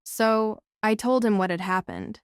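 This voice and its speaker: A woman's voice: mid-range voice, sing-song, a little slow, clean tone, no room sound, studio quality. A woman narrating a novel as a scripted audiobook.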